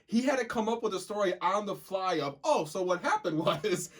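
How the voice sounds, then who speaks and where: A man's voice: high-pitched, lively intonation, normal speed, a bit bright. A young man co-hosting a podcast, telling stories in a rambling, casual way with lots of restarts and stammered fillers. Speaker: podcast co-host. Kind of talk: casual chat.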